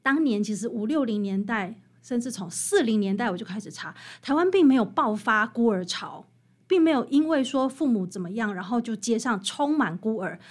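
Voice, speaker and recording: Woman, high voice, Taiwanese Mandarin accent, interview guest, animated explainer, conversational. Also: normal speed. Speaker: interview guest, author and music researcher.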